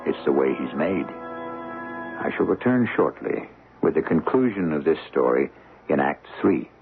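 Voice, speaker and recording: A man narrating a radio mystery drama as its host, with grave, measured, deliberate phrasing. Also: very deep voice, very animated, creaky, music in the background, heavily acted. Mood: grave, ominous. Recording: muffled old radio broadcast.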